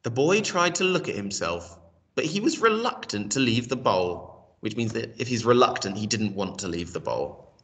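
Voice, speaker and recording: A man reads a children's story with hugely varied intonation. His voice is bright and mid-pitched, heard from slightly away from the microphone. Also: very animated, moderate pace, far from the mic. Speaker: storytelling reader. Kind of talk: expressive children's story reading.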